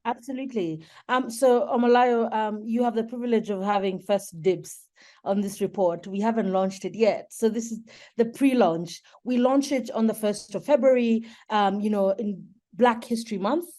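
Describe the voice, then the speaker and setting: A woman's voice: on the high side, moderate pace, dark tone. A woman giving an explanatory webinar presentation as a guest speaker, articulate and persuasive. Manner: articulate, persuasive, poses rhetorical questions. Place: online webinar.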